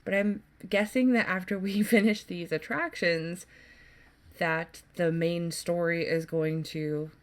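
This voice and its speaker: A woman's voice: lowish voice, steady tone, slow pace. A woman doing casual gameplay commentary as a YouTuber, rambling along in a chatty, enthusiastic way. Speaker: gaming YouTuber. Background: game music.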